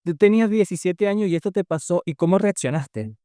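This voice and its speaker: A young man from Venezuela with a Venezuelan accent, hosting a podcast and asking curious, lively questions. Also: high-pitched, brisk, dry recording, studio quality. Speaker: podcast host. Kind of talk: casual interview.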